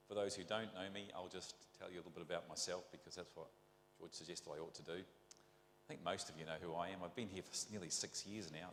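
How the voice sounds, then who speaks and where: A man's voice: low voice, a bit bright, reverberant, in a large hall, a little hiss or hum. A middle-aged man with a New Zealand accent preaching a conversational, rambling sermon full of rhetorical questions. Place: church.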